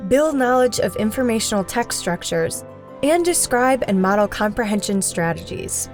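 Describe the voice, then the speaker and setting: A woman's voice: medium pitch, animated, normal speed, soft background music. A woman narrator reading scripted instructional material in a formal explanatory style.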